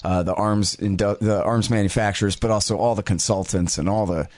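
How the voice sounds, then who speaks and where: A man with a somewhat low voice hosts a talk show, chatting in a loose, casual way full of fillers and asides. The sound is dry and close to the mic. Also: fairly even intonation, a little fast, darkish tone, no echo. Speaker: podcast host.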